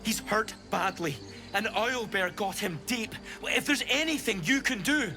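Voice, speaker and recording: A man's voice: high voice, expressive, measured pace, music in the background. A man playing an anxious young cult recruit in a fantasy game, speaking with urgent, faltering delivery. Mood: desperate, pleading. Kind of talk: dramatic fantasy game dialogue.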